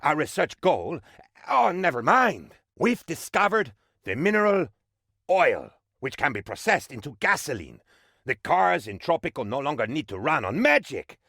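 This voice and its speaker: An actor playing an eager, bumbling, cheerfully shameless aide to a dictator in a video game, with a theatrical, mock-official delivery. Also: male voice, on the high side, sing-song, moderate pace, vocal fry, pushed and bright, dry recording, clean studio sound, heavily acted. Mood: gleeful, smug. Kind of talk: comic video game voice acting, reporting to his leader.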